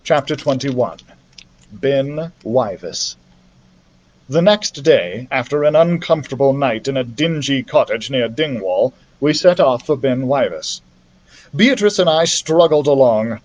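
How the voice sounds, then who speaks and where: A man reading a children's adventure novel aloud as a library storyteller, in an expressive narrative style. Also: mid-range voice, unhurried.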